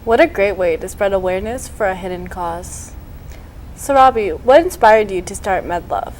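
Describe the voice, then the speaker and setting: A woman's voice: mid-range voice, moderate pace, darkish tone, a little hiss or hum, rough recording. A woman hosting a local TV interview show, upbeat and full of praise for her guest. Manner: upbeat, complimentary. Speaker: TV show host.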